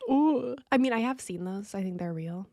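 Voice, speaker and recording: A woman's voice: medium pitch, lively intonation, normal speed, dark tone, no room sound. A woman co-hosting a podcast in casual comedic chat, playful and blunt, reacting with exclamations. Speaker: podcast co-host.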